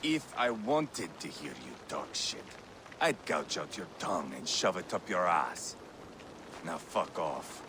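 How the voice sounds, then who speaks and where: An actor playing a cruel, foul-mouthed, sneering Viking warrior with a gravelly voice in a video game cutscene. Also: male voice, sits low, sing-song, slow, background noise, exaggerated voice. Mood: curt contempt. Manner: sneering, crude, taunting.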